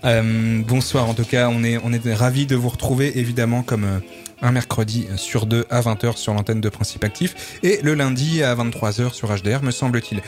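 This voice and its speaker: A man's voice: medium pitch, fairly even intonation, moderate pace, a little mellow. A man hosting a community radio music show, hesitating with many fillers and restarts and laughing easily. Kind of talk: casual radio chat.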